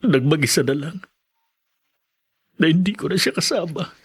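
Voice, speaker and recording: A man's voice: a little high, moderate pace, slightly rough. An actor playing a loyal young student grieving for his friend in a podcast drama, earnest and heavy-hearted. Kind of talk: podcast radio drama acting.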